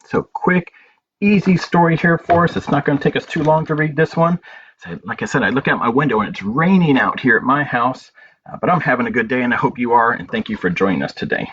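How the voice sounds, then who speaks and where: A man's voice: mid-range voice, brisk, fairly bright tone. A man hosting a children's storytime from home, speaking simply as he reads aloud and chats to young listeners. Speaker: library storytime host. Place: at home, indoors. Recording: dull home recording with background noise.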